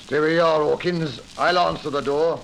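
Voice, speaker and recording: An actor playing a dutiful police corporal, curt and respectful, in 1940s radio acting style. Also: male voice, on the high side, fairly even intonation, normal speed, creaky, a bit bright, slight background noise, low-quality recording.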